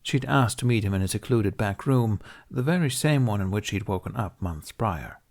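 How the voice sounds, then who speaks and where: Lowish-voiced man narrating dramatic fiction. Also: expressive, moderate pace. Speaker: audiobook narrator. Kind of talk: scripted dramatic story narration.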